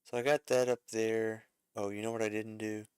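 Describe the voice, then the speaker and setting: A man's voice: lowish voice, level tone, moderate pace, a little mellow, smooth, dry recording, studio quality. A man giving a casual music arranging lesson, thinking aloud as he works, with frequent fillers and self-corrections.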